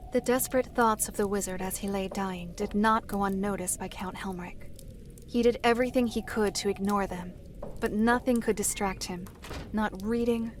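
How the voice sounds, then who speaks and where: A woman playing a solemn storyteller, narrating dark fantasy lore in a formal, measured way. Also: mid-range voice, slight background noise, natural voice. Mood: grave.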